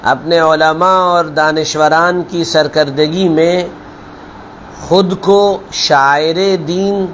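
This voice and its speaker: Guy preaching like a maulana, highish voice, slow deliberate storytelling, bit of noise behind him. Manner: deliberate, admonishing, repeats phrases for emphasis. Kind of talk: sermon with storytelling parable.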